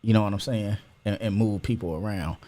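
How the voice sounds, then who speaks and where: A man's voice: on the low side, a little fast, mellow. A man hosting a casual podcast, talking loosely and opinionated with lots of slang. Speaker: podcast host.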